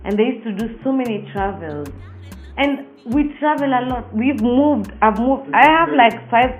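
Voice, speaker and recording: A woman's voice: a little high, lively intonation, unhurried, highs cut off, faint music behind. A woman from Nairobi with a Kenyan accent, a singer telling personal stories casually and emphatically in an interview.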